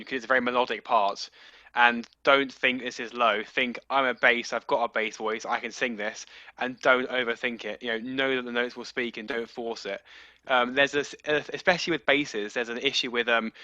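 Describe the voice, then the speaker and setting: Bright-voiced male bass coach. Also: no room sound, muffled recording. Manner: practical, encouraging advice. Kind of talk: choir rehearsal tips.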